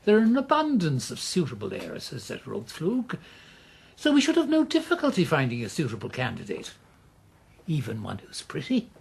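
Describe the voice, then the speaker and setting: An actor playing a scheming, roguish old Irish gambler uncle in a radio drama, wry and wheedling, with a gravelly, husky voice. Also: male voice, fairly high pitch, wildly swooping pitch, normal speed, a little hiss or hum, full character voice. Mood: sly, confiding. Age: older.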